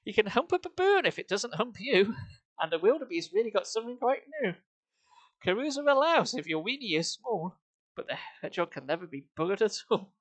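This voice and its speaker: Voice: mid-range voice, big pitch swings, a little fast, no echo. A streamer reading comic verse live in an amused, lilting singsong, with chatty asides.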